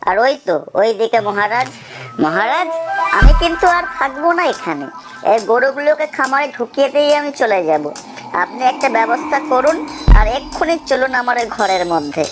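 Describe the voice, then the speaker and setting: A timid young cartoon servant acted by a woman, earnest and pleading. The voice is high and deferential, with music playing clearly behind it. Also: very animated, normal speed. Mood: anxious, pleading. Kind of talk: children's cartoon story dialogue.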